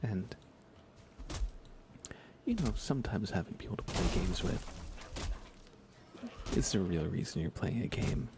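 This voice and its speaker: A soft-spoken man chatting casually in an ASMR game livestream, often slipping into a whisper as he rambles along unhurriedly. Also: sits low, expressive, a little slow, vocal fry, pauses often, noisy background. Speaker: live streamer. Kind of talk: soft ASMR gaming stream, casual chat with viewers.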